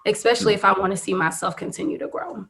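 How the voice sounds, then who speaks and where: A woman's voice: mid-range voice, fast talker, creaky, a crowd in the background. Earnest young woman giving business advice. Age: 20s. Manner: earnest, emphatic, repeats key phrases. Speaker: guest business owner. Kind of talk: casual podcast interview.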